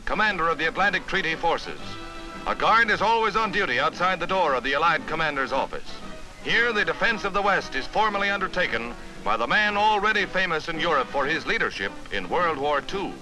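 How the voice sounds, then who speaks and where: A man's voice: fairly high pitch, big pitch swings, fairly quick, low-quality recording. An American man narrating a 1950s newsreel in a formal, emphatic, dramatic announcer's delivery. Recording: vintage newsreel soundtrack with music.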